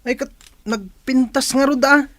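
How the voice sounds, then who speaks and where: A man's voice: very high-pitched, fairly even intonation, a little slow, a bit bright, dry recording. A man playing a teasing, pushy young cousin with an Ilocano Filipino accent in a comedy radio drama, speaking insistently. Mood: egging on. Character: teasing, pushy male cousin egging on his friend.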